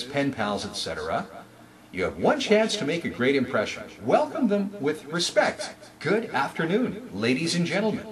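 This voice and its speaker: A man presents like a trainer, with emphatic salesman delivery. His pitch swings widely in a very animated way, and there is clear crowd noise behind him. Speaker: store announcement trainer. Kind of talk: instructional talk with demonstrated announcement scripts.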